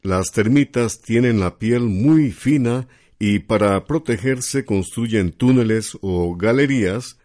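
Male radio host, lowish pitch, steady explanatory delivery speaking to the audience. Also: slow. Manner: addresses listeners directly. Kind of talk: educational radio talk.

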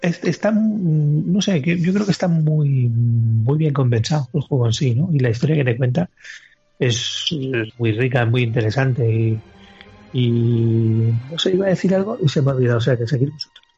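Male co-host, Spain accent, very husky, informal and sweary, slightly dull recording. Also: mid-range voice, moderate pace, mellow. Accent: Spanish (Spain). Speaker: podcast co-host. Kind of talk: casual video game chat. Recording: muffled lo-fi podcast, music underneath.

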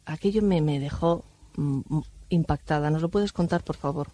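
A woman hosting a night radio show, asking gentle probing questions. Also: low-pitched, normal speed. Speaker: radio host.

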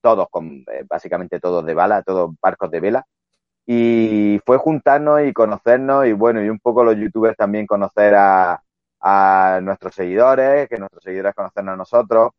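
Man, low-pitched, relaxed rambling talk, dull lo-fi audio, dry. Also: normal speed, dry recording. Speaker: guest, sailing youtuber. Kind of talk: casual video interview. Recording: dull lo-fi sound.

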